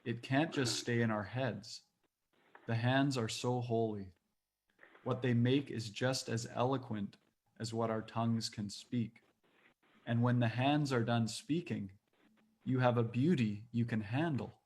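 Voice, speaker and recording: A man working as an interviewer, speaking in measured, deliberate phrases with some hesitation. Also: mid-range voice, husky. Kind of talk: measured interview talk and quote reading.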